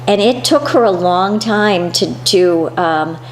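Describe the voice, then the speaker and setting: A woman's voice: medium pitch, slow. A middle-aged woman with an American accent, hosting a conversational interview. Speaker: interview host. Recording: echoey room, faint noise.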